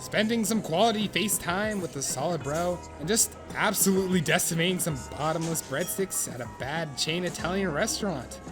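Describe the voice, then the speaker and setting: A man playing an earnest, excitable narrator of a comic fan drama, theatrical and mock-solemn in his delivery. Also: high voice, normal speed, hardly any pauses, lightly acted. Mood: wry, musing. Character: earnest, excitable male narrator of an awkward would-be friend. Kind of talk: dramatic second-person scripted narration with comic profanity. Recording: music under the voice. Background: background music.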